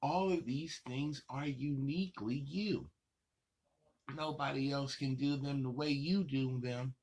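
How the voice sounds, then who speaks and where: A gravelly-voiced man hosts a story time for little kids. He takes his time, speaking slowly in simple, repeated phrases. His delivery is encouraging and reassuring, with a husky rasp. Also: mid-range voice, darkish tone. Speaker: host of a children's story time show. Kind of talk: addressing young children directly.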